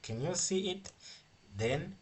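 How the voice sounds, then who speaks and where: A man who is a non-native English speaker, giving an informal software tutorial in a halting, repetitive, step-by-step way. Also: sits high, lively intonation, husky. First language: not English. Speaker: coding tutorial instructor.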